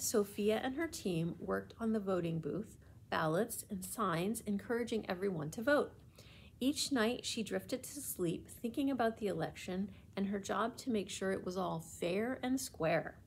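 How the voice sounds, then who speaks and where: A woman working as a library storyteller reads a children's book aloud, with deliberate, expressive phrasing meant for young listeners. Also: medium pitch. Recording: faint background noise.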